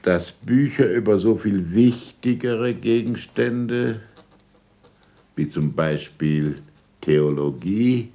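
An actor playing an older, sardonic and imperious scholar in a German radio drama, dictating deliberately with irony and curt commands. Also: male voice, on the low side, warm tone. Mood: dictating, ironic.

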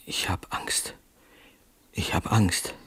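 An actor playing a weak, frightened addict begging in withdrawal in a radio drama. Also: male voice, takes it slowly, a bit bright, in a whisper. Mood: fearful craving. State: weak, desperate. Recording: roomy, distant from the mic.

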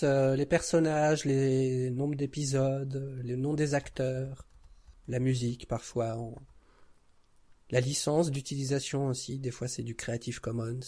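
A man's voice: mid-range voice, dark tone. A man speaking as a podcast guest in casual, informal chat, explaining things simply. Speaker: podcast guest, audio fiction creator.

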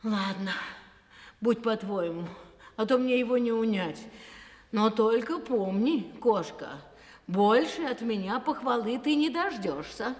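Slow, measured storybook cave mother. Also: fairly high pitch, animated, long reverb tail. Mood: grudging. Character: cave woman, a mother, grudgingly grateful to a cat. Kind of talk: theatrical fairy-tale audio play.

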